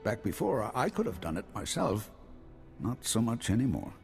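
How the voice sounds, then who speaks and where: An actor playing an anxious, proud old hermit farmer and former guard in a video game, hesitant and halting. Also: male voice, fairly low pitch, very animated, vocal fry, a little mellow. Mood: ashamed, anxious. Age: older. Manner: hesitant, halting, repeating himself. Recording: long hall reverb, music behind.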